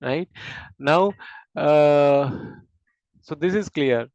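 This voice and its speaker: A man gives a technical explanation in a smooth, slightly high voice. He moves through it haltingly, repeating words and often checking for agreement. The sound is dry and a little muffled, like a lo-fi recording. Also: a little mellow, no room sound. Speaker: instructor explaining system design. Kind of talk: technical lecture in a discussion, explaining step by step. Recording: dull, lo-fi close audio.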